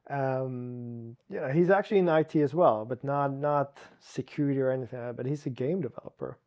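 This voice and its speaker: A man from Sweden with a Swedish accent, a guest telling his story in a relaxed chat, with frequent restarts and fillers. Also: medium pitch, a little fast, muffled recording. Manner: talks with many restarts and "you know" fillers.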